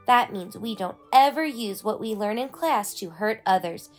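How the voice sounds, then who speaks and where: A woman's voice: mid-range voice, animated, normal speed, faint music behind, natural voice. A woman voicing an eager, earnest young boy in a children's storybook read-aloud. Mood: earnest, explaining. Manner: eager, proud, explaining earnestly. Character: excitable, earnest young boy learning martial arts.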